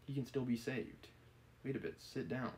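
A man reading the part of an obsessive, menacing gentleman from a novel, insistent and persuasive. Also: mid-range voice, normal speed, natural voice. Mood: urgent persuading. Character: obsessive, menacing gentleman pleading with and cornering a woman. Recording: clearly audible background noise.

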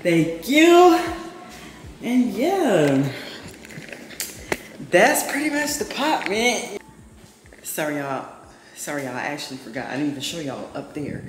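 A woman's voice: very animated, unhurried, pauses often, background noise. A woman vlogger giving a chatty, upbeat tour of her new apartment, filming herself with a handheld camera. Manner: chatty, upbeat, says "boom" and "period" a lot. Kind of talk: casual apartment tour vlog. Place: empty apartment, indoors. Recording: echoey empty room with music behind. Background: background music.

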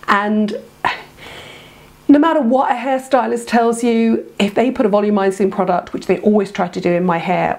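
A woman's voice: mid-range voice, a little mellow. A woman in her fifties, congested from a head cold, chatting to camera about beauty products at home, gushing with many ums. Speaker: beauty vlogger. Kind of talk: casual to-camera product review. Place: home room, indoors.

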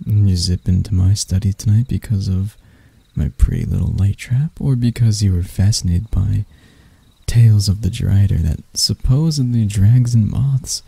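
A man voicing a sadistic, seductive male spider-elf predator in intimate ASMR roleplay, breathy and teasing with his endearments. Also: on the low side, dark tone, no echo, heavily acted. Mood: amused menace. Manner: slow, teasing, purring endearments.

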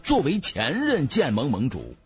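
A man's voice: medium pitch, huge pitch swings, measured pace, breathy edge. A man narrating a fantasy audiobook in a dramatic, scripted storytelling style. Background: background music.

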